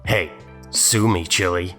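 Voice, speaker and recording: A man's voice: low voice, fairly even intonation, slow pace, pushed and bright. A man delivering scripted mocking commentary in a sardonic, scornful manner. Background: music.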